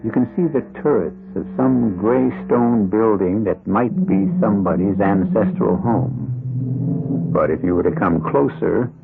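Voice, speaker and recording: A man hosting and narrating a scripted radio drama in a deliberate, ominous, theatrical manner. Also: deep voice, gravelly. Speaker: host and narrator. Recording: tinny old radio broadcast. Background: music.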